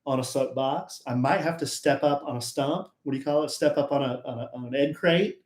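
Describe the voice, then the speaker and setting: A man's voice: mid-range voice, a little fast, reverberant. A middle-aged man co-hosting a podcast, chatting easily with his wife and steering the talk back on topic. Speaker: podcast co-host. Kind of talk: casual chat with spouse.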